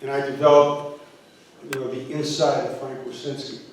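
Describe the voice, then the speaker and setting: An older man from northeastern Pennsylvania whose first language is Polish, giving an earnest, halting public talk with many fillers and restarts. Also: mid-range voice, flat delivery, slight background noise. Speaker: university president candidate. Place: university hall. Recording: echoey room, from far off.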